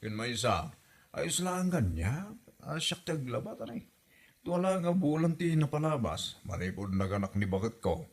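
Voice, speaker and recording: A man's voice: medium pitch, big pitch swings, moderate pace. A man playing a mild young husband in a comedy drama, placating and defensive between his mother and his wife. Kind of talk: comedy radio drama acting.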